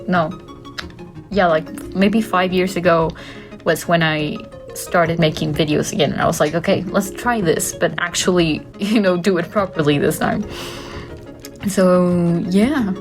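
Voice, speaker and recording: Young woman, lowish voice, chatty vlogger, faint music behind. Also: darkish tone. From Costa Rica. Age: 20s. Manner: chatty, tangents, frequent laughs, casual swearing. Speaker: art student and content creator. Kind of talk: casual rambling to camera. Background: faint background music.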